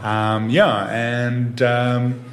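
A man's voice: mid-range voice, flat delivery, extremely slow, roomy sound. A man in his fifties who grew up in Israel, talking easily as an interview guest and leaning on "you know" as he goes. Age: 50s. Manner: easygoing, many "you know" fillers and restarts. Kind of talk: relaxed conversational interview.

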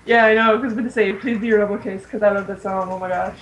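A woman's voice: medium pitch, fairly even intonation, quick pace, highs cut off. Woman chatting over noisy audio. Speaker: podcast co-host. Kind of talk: casual fan chat. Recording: noisy, distant amateur voice chat.

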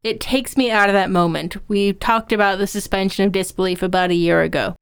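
A woman's voice: mid-range voice, fairly even intonation, fairly quick, creaky, clean tone. A woman co-hosting a podcast on writing, explaining her opinions conversationally as an author and instructor. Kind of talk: conversational craft discussion.

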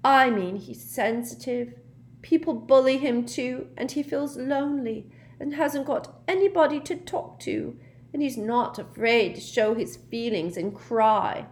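A woman voicing the glum, easily wounded ghost of a teenage girl in an audiobook, sulky and plaintive with drawn-out words. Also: fairly high pitch, expressive, voice pushed up. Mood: defiant.

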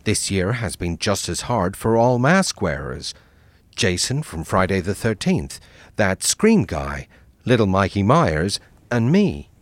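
A man doing a suave deep-voiced cannibal doctor. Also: sing-song, no room sound. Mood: measured. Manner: soft, measured and cultured. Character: suave cannibal doctor villain, calm group leader.

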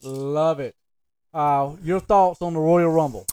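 A man speaks in a voice a little higher than most. He banters casually as the co-host of a sports podcast. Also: fairly even intonation, slow, dark tone, clean tone, no echo.

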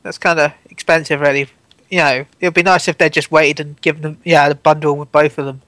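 A man's voice: on the high side, little pitch movement, brisk, fairly bright tone, dry recording. Rambling young man, dry close sound. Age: 20s. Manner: rambling, stammers and restarts. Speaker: podcast co-host. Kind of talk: casual gaming podcast chat.

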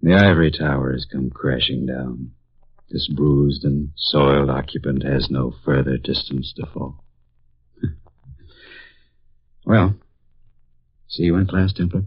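An actor playing a bitter, theatrical college professor with a florid, sardonic way of talking, in 1950s radio drama style. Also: male voice, rumbling low, unhurried, pauses often, husky, no room sound, full character voice. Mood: bitter irony. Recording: muffled vintage radio recording.

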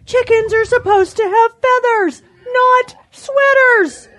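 A woman's voice: extremely high pitch, takes it slowly, a bit bright, dry recording, full character voice. A woman voicing a fussy, matter-of-fact hen in a children's audio drama. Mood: dry, disapproving. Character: dry, fussy hen in a sweater.

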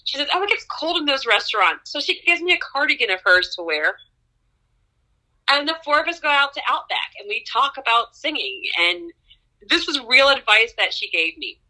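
A young adult woman, an opera singer, telling stories from her career in an interview with lively laughs and excited rises in pitch. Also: normal speed, poor audio. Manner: animated storyteller, laughs often, pitch jumps up in excitement. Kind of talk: conversational interview storytelling.

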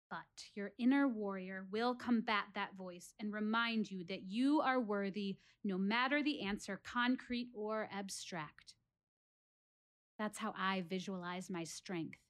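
An actress performs a reflective female warrior in a solo audio play. Her voice sits fairly high for a woman. She addresses her audience earnestly and theatrically, building rhetorical points with deliberate pauses. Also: natural voice. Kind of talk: one-woman stage monologue audio play.

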